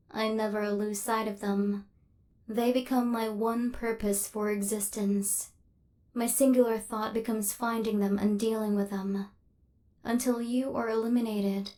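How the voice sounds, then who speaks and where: Woman acting as formal, high-voiced robot assassin woman. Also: a little mellow. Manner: clipped, formal, mechanical delivery. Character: female robot assassin, cold, relentless, growing flustered. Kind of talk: ASMR roleplay acting.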